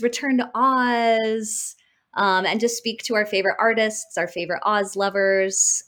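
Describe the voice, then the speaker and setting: Bright, gushing woman podcast guest. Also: fairly high pitch. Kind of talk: casual chat.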